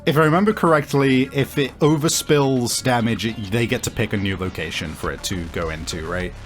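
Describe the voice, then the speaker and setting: A man improvising narration as game master of a tabletop game, thinking aloud haltingly as he describes the action and the rules. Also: medium pitch, faint music behind.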